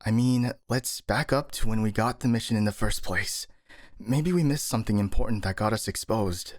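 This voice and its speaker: A man's voice: lowish voice, normal speed, no echo, clean studio sound, natural voice. A man playing a skeptical, worrying captured master ninja, sighing and hesitant, in a scripted ASMR audio roleplay. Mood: suspicious.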